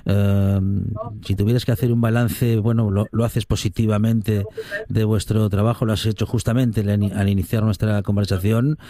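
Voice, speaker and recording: A man hosting a radio interview, asking measured questions with some fillers. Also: lowish voice, flat delivery, warm tone, dry recording.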